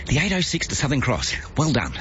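A man's voice: mid-range voice, huge pitch swings, fairly quick, muffled recording, background noise. A man playfully narrating a commuter's morning in a radio ad, teasing in tone. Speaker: ad voice actor. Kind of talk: acted radio ad.

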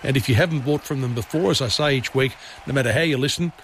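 A man with an Australian accent hosting talkback radio in a casual, rambling way, his voice scratchy and hoarse. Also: mid-range voice, quick pace, a bit bright. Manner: rambling and chatty, with restarts.

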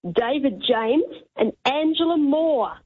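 A woman's voice: high voice, expressive, takes it slowly. A woman calling in to a radio show, eagerly telling a story. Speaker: caller. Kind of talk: phone-in chat. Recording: tinny phone line.

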